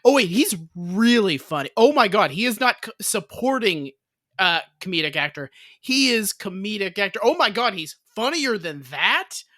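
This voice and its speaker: Female podcast co-host, mid pitch, expressive and chatty, dry close mic. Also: moderate pace, no echo. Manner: expressive, mimics people mid-sentence. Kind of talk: casual chat.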